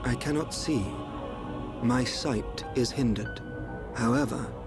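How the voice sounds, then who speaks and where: An actor playing a composed, enigmatic young seer in an animated game cutscene, speaking formally and with measured assurance. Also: male voice, mid-range voice, music in the background, natural voice. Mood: grave, measured.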